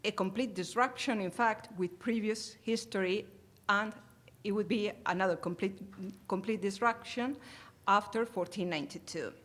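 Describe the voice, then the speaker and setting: A woman with a Spanish accent delivers a formal academic lecture at a conference. Her voice sits at a middling pitch, and she moves through the material carefully, often pausing to hesitate. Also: fairly bright tone. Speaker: conference presenter.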